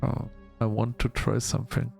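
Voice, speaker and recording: A man hosting a gaming video, reading story text aloud in a halting, measured storytelling delivery. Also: medium pitch, flat delivery, gravelly, a little mellow, faint music behind. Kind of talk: let's-play narration, reading game text aloud.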